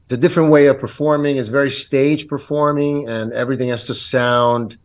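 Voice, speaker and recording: A man's voice: mid-range voice, fairly even intonation, a little fast. A man in his fifties whose first language is Italian, telling stories of his career earnestly as a podcast guest, with many ums and restarts. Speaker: guest actor. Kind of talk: casual interview chat.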